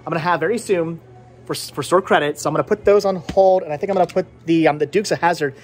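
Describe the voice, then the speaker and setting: Higher-pitched man chatting in vlog style. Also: quick pace. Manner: rambling, repeats words for emphasis. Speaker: host. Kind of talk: casual vlog chat to camera. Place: inside a small cluttered movie and game shop. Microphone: phone or camera at arm's length.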